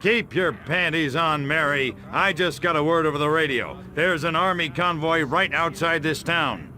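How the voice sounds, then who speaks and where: Hard-boiled, sarcastic government agent. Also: male voice, fairly high pitch, moderate pace, bright, forceful tone. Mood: commanding. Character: gruff, sarcastic male government agent, defiant. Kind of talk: video game cutscene acting. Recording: music behind the voice.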